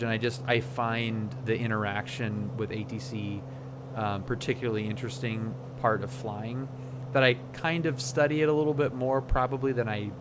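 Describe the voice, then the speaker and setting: Guy streamer thinking out loud, halting casual talk, mid pitch, humming noise behind him. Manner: reflective, halting, searching for words. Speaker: flight sim streamer. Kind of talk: casual livestream commentary.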